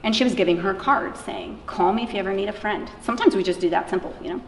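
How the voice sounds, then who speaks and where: A woman's voice: mid-range voice, rapid-fire. A woman being interviewed, telling real accounts in an informal, conversational way and voicing bits of dialogue as she goes. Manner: informal, acts out quoted dialogue. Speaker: interviewee, anti-trafficking worker. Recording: echoey room from far off, faint noise.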